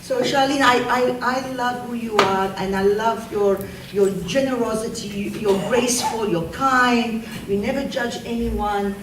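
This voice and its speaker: A woman's voice: on the high side, measured pace, hardly any pauses. A woman, a French teacher, giving an award speech at a school assembly and praising a student with feeling. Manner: warm, emotional praise of a student. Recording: echoey hall, far from the mic, noisy.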